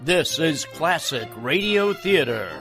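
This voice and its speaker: Loud music, man, lively intonation, slow pace, radio promo announcer. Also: fairly high pitch.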